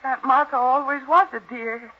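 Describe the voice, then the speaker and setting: An actress playing a scheming young niece who feigns sweetness in an old-time radio mystery. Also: female voice, sits high, fairly even intonation, normal speed. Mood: sweetly composed. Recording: muffled old radio recording.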